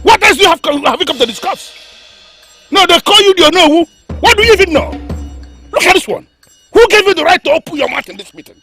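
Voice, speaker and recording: Actor plays an indignant domineering king, high, lively delivery. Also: a little fast, faint music behind, heavily acted. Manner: shouting, indignant, accusing. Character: hot-tempered domineering male king.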